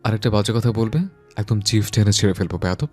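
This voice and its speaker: Stern, scolding husband male actor, lowish voice, curt delivery, audio love story over faint music. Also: steady tone, quick pace, a little mellow, natural voice. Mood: furious scolding.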